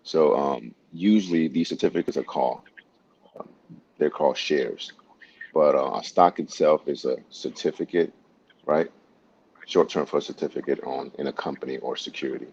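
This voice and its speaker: A man from Honduras with a very deep voice talks upbeat and often tags his points with "right?". The audio is dull and lo-fi. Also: moderate pace, vocal fry, darkish tone, husky. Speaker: guest, military medical officer. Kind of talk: casual interview answers.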